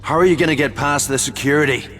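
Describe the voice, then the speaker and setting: An actor playing a rough, blunt, wry Irish saboteur in a video game, with an Irish accent. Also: male voice, a little high, fast talker, a bit bright. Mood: skeptical. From Ireland. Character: rough, brash, sardonic Irish saboteur, adult man. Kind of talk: cinematic video game acting.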